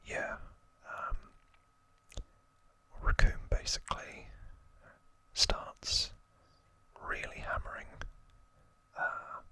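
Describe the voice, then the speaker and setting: Slow, whispering ASMR host. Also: pushed and bright, no room sound. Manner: casual, halting plot recap with asides.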